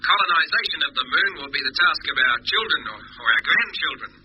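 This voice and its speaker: An actor playing a matter-of-fact rocket engineer on the Moon in a 1950s radio drama, on a noisy vintage recording. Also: male voice, fairly high pitch, expressive, fast talker, bright, forceful tone, dull audio. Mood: sober.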